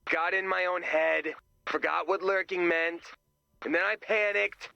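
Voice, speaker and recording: Nervous tactless camper dude for a game, high creaky voice, really sharp and tense. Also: male voice, steady tone, a little slow, no room sound, dull audio, heavily acted. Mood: anxious. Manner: anxious, blunt, babbling. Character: nervous, tactless young male summer camper. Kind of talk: animated video game voice acting.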